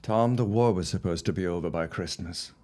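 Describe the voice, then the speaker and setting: An actor playing a genial, optimistic husband and small-town booster in a period audio drama, speaking in a declamatory way. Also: male voice, deep voice, fairly quick, dark tone, close to an everyday voice. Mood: gently restrained.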